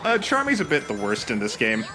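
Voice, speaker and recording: A man's voice: mid-range voice, huge pitch swings, a little fast. A man co-commentating on a video game in a casual, chatty style. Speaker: let's play co-commentator. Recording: game music behind commentary.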